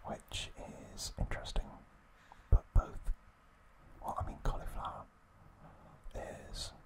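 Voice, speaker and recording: Slow whispering man, ASMR style. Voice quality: breathy, unvoiced whisper. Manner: slow, hushed, halting delivery with gentle pauses. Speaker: ASMR host. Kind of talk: whispered ASMR trivia recap.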